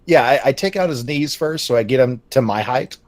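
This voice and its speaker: A man's voice: mid-range voice, animated, brisk. A man playing a tabletop game, joking around with friends and laughing often. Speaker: player. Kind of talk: casual chat.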